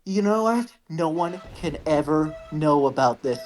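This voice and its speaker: A woman's voice: low-pitched, animated, measured pace, darkish tone. A woman bantering casually as a co-host, playful and teasing, slipping into mock quotes. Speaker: co-host of a fan fiction reading show. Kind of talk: casual, joking group banter. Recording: music playing behind the voice.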